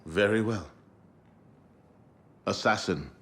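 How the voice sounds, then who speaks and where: An actor playing a grave, authoritative leader of a secret order in a video game cutscene, speaking in a formal, measured way. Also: male voice, lowish voice, fairly bright tone. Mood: solemn. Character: grave, authoritative male leader of an Assassin council.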